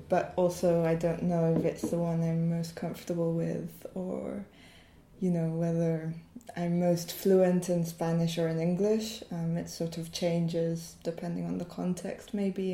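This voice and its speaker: A woman's voice: lowish voice, flat delivery, unhurried, warm tone. A woman from Mexico, a poet and translator, answering reflectively and searching for words with many ums and you-knows. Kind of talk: casual interview chat. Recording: roomy echo.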